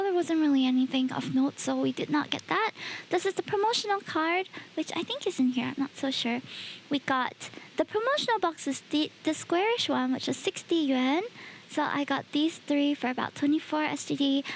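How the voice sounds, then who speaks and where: A woman's voice: high-pitched, slight background noise. A woman, a bit sick and sniffly, chatting casually with her viewers as a streamer and laughing often. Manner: chatty, talks straight to chat, laughs often. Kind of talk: casual livestream chat with viewers.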